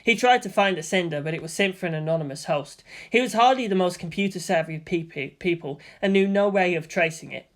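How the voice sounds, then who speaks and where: A man's voice: high-pitched, brisk, hardly any pauses. A man narrating fiction as an audiobook reader, in scripted storytelling style.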